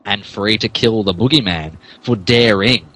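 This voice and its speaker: A man's voice: fairly low pitch, measured pace, a bit bright, a little hiss or hum, poor audio. A man narrating a comic dramatic reading of fan fiction in a hammy way, stumbling over words. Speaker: narrator, podcast reader.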